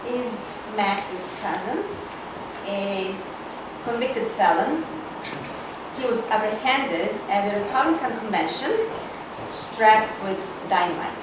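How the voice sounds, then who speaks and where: A woman's voice: mid-range voice, slow, close to an everyday voice. A woman cold reading the part of a domineering, haughty corporate older sister in a screenplay, bossy and dryly sarcastic. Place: indoor reading hall, recorded from far off. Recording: echoey room, distant mic, loud noise.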